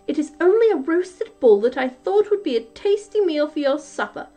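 A woman voicing a brisk, bossy giantess wife in a storybook, scolding and emphatic. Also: high voice, very animated, dark tone, faint music behind, voice pushed up.

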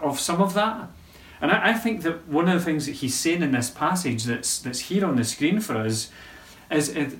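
A man preaching a sermon as pastor of a local church, conversational and halting, often restarting his sentences. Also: mid-range voice, a bit bright. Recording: echoey room from a distance.

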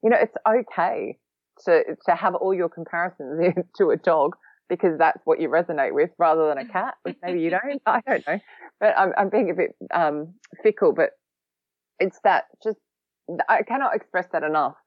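A woman's voice: on the low side, lively intonation, no room sound. Chatty Australian woman, dull dry sound. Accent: Australian, long lived in London. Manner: chatty, quick-witted, a bit sarcastic. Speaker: podcast guest, social recruiting trainer. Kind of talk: casual podcast interview.

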